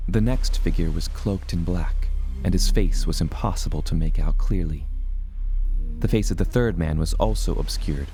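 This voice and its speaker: An actor playing a reflective seafaring adventurer, narrating his tale in deliberate storybook phrasing for an audio drama. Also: low-pitched. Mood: hushed wonder. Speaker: first-person narrator.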